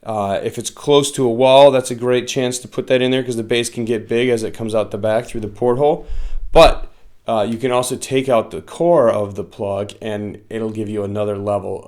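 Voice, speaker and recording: A man giving a casual product review in a halting way, often pausing mid-phrase. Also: fairly low pitch. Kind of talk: semi-scripted casual review.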